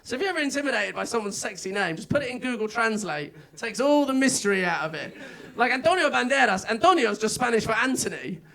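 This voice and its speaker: Young English male stand-up, high, bright and a bit gravelly, chatty and self-mocking. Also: expressive, fast, hardly any pauses, rough recording. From England. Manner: chatty, self-mocking, with frequent filler words. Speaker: stand-up comedian. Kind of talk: stand-up comedy set. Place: comedy club stage.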